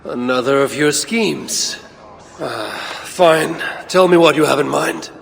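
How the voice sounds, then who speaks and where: In a long, reverberant hall, a proud middle-aged god of Norse myth for a game cutscene. His voice is gravelly and a little high for a man, and he speaks with dry, imperious wit in measured phrases. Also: a bit bright, full character voice. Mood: sceptical. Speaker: video game protagonist. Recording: long hall reverb, faint background noise.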